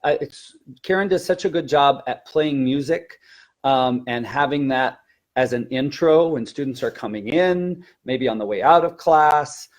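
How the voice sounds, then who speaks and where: A man's voice: medium pitch, a little slow. Male teacher explaining classroom techniques. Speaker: teacher trainer, elementary language teacher. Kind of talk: conversational teacher-training webinar.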